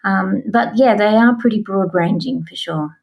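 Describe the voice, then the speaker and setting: Woman with marked vocal fry. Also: medium pitch, normal speed, lo-fi sound. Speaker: interview guest, science and natural history writer. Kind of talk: conversational interview.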